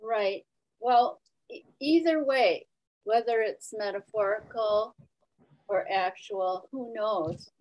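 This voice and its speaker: A woman speaking as a Zen teacher in an informal discussion over a video call, reflectively and in short phrases with pauses. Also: medium pitch, a little mellow, poor audio. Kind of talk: spontaneous dharma talk and discussion.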